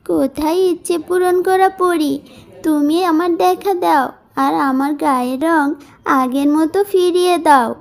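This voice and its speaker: Woman playing a high-voiced, plaintive orphan girl. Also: unhurried. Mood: earnest. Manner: plaintive, earnest. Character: sorrowful, mistreated orphan young woman in a folk tale. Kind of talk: animated moral-story cartoon dubbing.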